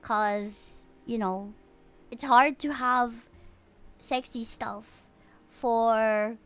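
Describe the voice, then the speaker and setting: A woman's voice: fairly high pitch, steady tone, very slow, a bit bright, clean tone. A young woman livestreamer from the Philippines talking casually and candidly to her viewers, in an informal way. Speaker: livestreamer playing a video game.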